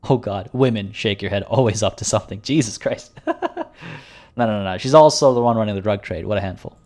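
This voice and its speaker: A man streaming a video game, chatting casually with viewers in a rambling way, and slightly hoarse, as if losing his voice. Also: lowish voice, fairly quick, a little mellow. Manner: rambling and chatty, frequent restarts. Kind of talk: casual livestream commentary.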